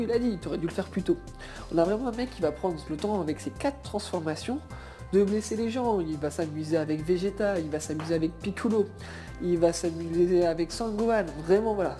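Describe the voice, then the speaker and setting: A woman's voice: lowish voice, warm tone. A woman giving a casual, improvised opinion as an anime fan, rambling and emphatic in colloquial language. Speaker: guest debater, anime fan. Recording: background music under voice.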